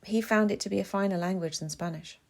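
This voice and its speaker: A woman's voice: mid-range voice, fast talker, creaky, lightly acted. A guarded, slightly flustered young woman, voiced by a woman narrator, bookish and polite. She speaks with reserve, as a character in a novel's dialogue. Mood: politely informative.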